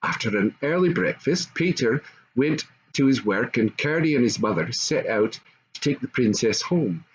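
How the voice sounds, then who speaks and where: A man's voice: mid-range voice, animated, moderate pace, slightly rough. An older man reading a classic fairy story aloud as a storybook narrator. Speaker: storybook reader. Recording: dull home recording with faint noise.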